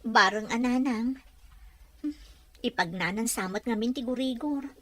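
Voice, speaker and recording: A woman with a Filipino accent playing a gentle, dutiful young wife in an audio drama. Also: on the high side, a little slow, no echo. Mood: earnest. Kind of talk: Ilocano audio drama acting.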